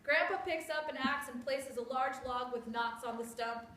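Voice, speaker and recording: A woman's voice: sits high, moderate pace, pushed and bright. A woman narrator giving a scripted reading of stage directions. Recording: echoey room, distant mic, background noise.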